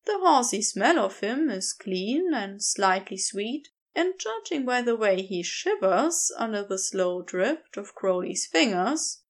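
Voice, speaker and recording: A woman narrates a story in a high voice with very lively intonation. She reads slowly and deliberately, in an expressive storytelling manner. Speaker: podfic narrator. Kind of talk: scripted fiction narration.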